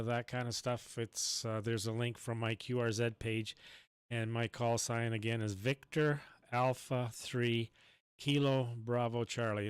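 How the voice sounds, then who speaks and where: Male ham radio operator, casual and conversational, clean smooth voice recorded close and dry. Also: mid-range voice, dry recording. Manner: spells call signs in phonetic alphabet. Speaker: amateur radio operator, station host.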